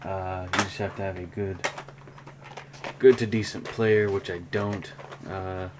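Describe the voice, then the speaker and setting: Low-voiced man rambling casually. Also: flat delivery. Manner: rambling, halting delivery. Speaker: hobby vlogger, cassette collector. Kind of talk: casual unscripted show-and-tell vlog. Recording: clear background noise.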